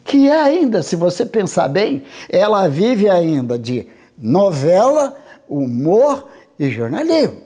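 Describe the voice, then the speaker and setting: Emphatic guy, high lively voice, talks slow, documentary interview. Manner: emphatic, rhetorical questions.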